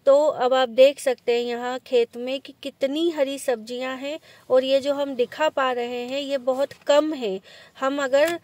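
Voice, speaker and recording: Chatty woman vlogger, high voice. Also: fairly even intonation, unhurried, darkish tone, dry recording. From India. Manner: chatty, easygoing storytelling. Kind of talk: casual vlog narration. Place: rural village outdoors, later in a car at night.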